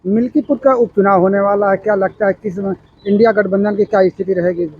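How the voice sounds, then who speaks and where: A man's voice: sits high, fairly even intonation, a little fast, phone-quality sound, a little hiss or hum. A man working as a news reporter, putting spontaneous interview questions.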